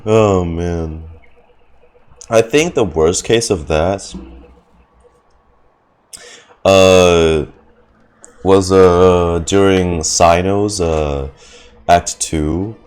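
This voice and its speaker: A man's voice: low-pitched, very slow, darkish tone, smooth. A man giving casual livestream reactions to a game, groaning and exasperated. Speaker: game streamer reacting to a story quest.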